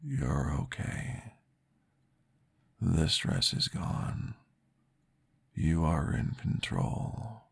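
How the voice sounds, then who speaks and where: A man voicing a calm, patient male vampire therapist, soft-spoken and reassuring, often dropping into a whisper in an ASMR roleplay. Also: a bass voice, extremely slow, pauses often, exaggerated voice. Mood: soothing whisper. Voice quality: gravelly, husky. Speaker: therapist guiding a listener.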